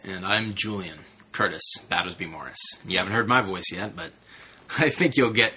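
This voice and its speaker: A man's voice: sits low, moderate pace, pushed and bright, slight background noise. A man co-hosting a podcast, joking casually with a playful, teasing manner. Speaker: podcast co-host. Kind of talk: casual comic banter.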